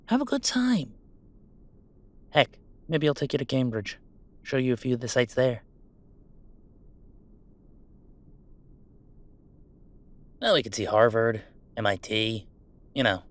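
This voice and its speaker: A man's voice: mid-range voice, natural voice. A man playing a chatty, affectionate, well-off gamer in a roleplay audio drama, talking casually and reassuringly in a rambling, wry way. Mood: upbeat, affectionate. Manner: casual, rambling, self-interrupting, wryly reassuring. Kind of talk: intimate roleplay audio acting, one-sided conversation.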